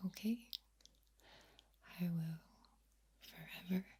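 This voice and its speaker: A woman with a low voice, whispering ASMR to the viewer in a soft, drawn-out, playful way and softly repeating words. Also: slightly rough. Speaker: ASMR creator and streamer.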